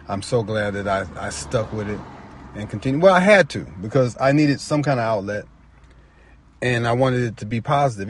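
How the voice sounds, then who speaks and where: A middle-aged man talking informally and personally as a storyteller, reflective and halting, repeating phrases for emphasis. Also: mid-range voice, a little hiss or hum. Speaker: poet and novelist, featured storyteller.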